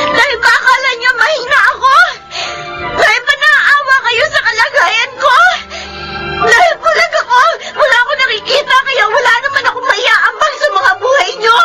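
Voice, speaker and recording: A very high, girlish voice of a resentful young Filipina, pleading her case with raw emotion. It is radio drama acting, with music playing clearly behind her. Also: rough recording. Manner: emotional, protesting. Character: blind, resentful 23-year-old younger sister.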